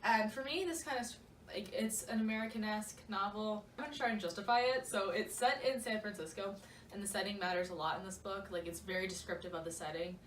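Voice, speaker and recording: A woman's voice: medium pitch, fast. A young woman co-hosting a casual book vlog, talking in a gushing, slangy and emphatic way with playful asides. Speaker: book vlogger, co-host. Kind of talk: casual chatty book discussion. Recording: roomy, distant mic with faint noise.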